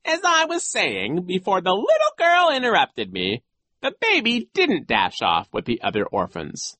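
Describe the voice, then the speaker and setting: A woman voicing a sly, villainous male gym coach in a storybook, smugly cruel, telling things in a drawn-out theatrical way with false regret. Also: fairly low pitch, huge pitch swings, a bit bright, dry recording, voice pushed up, full character voice. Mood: gleefully cruel.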